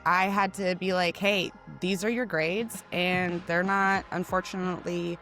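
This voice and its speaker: A middle-aged woman co-hosting a podcast in casual chat, loud and projecting, a bit hoarse from cheering, acting out quotes as she tells stories. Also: on the low side, measured pace, faint music behind. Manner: animated storyteller, acts out quotes. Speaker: podcast co-host.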